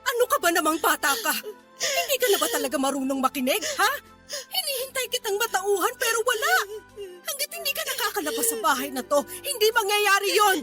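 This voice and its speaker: Stern, imploring older mother figure, very high and piercing, emotional radio-drama delivery. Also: sing-song, brisk, bright, forceful tone, faint music behind, heavily acted. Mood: exasperated. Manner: scolding, imploring, tearful emphatic delivery. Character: desperate, stern older mother-in-law pleading with young mother. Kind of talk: Tagalog radio drama acting.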